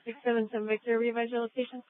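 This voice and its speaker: A woman's voice: fairly high pitch, flat delivery, rapid-fire, no echo. A woman dispatching over police radio in a clipped, procedural way. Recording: narrow, tinny police scanner radio.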